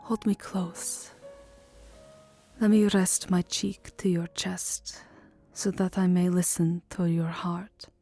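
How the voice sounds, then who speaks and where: Woman acting a slow, melancholy vampire countess. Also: fairly low pitch, steady tone, faint music behind. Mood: tender, romantic. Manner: soft, hesitant, formal, often dropping into whispers. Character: lovelorn, melancholy vampire countess, burned and self-loathing. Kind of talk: ASMR roleplay voice acting, second-person romance.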